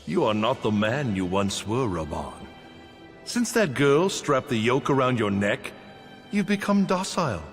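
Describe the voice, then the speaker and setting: A man's voice: mid-range voice, sing-song, measured pace. A man playing a bitter, treacherous soldier in a video game cutscene, speaking with scornful, theatrical taunts. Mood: bitter taunting. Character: bitter, treacherous soldier turned on his old friend. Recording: reverberant hall, music behind.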